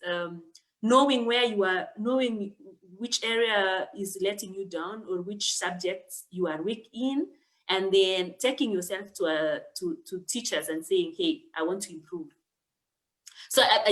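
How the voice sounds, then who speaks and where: Young kenyan woman guest, talks slow and thoughtful, lots of um and uh. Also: mid-range voice, fairly bright tone, rough recording. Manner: thoughtful, many fillers and restarts. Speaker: guest author. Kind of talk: casual interview answer.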